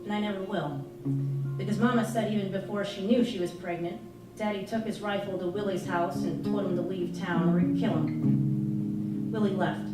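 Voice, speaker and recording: A woman giving an expressive live reading of a first-person novel, narrating as a candid, troubled young girl. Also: mid-range voice. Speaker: reader at a live literary performance. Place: live performance in an arts venue. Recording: echoey live room, distant mic, music. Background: music playing, guitar.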